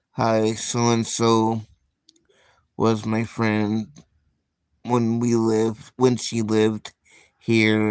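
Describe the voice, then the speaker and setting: Slow, low-voiced man musing quietly. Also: smooth, dry recording. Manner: slow, soft-spoken, reflective, with frequent pauses and fillers. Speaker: livestream host. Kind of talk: casual live stream chat.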